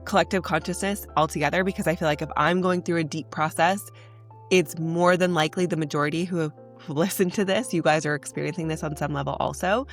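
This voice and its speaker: A woman's voice: low-pitched, fast talker, faint music behind. A young woman hosting a spiritual podcast, talking in an earnest, chatty way, congested from a cold. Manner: earnest, chatty, says "like" often. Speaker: podcast host. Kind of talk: casual spiritual podcast monologue.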